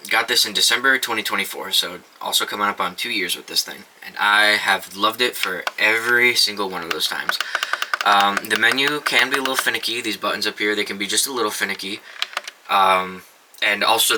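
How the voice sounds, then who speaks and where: A young man talks through his radio collection in an offhand, loose way, swearing casually and stumbling through restarts. His voice is low-set yet very bright and forceful, with a faint hiss in the background. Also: rough recording. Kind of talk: casual show-and-tell review.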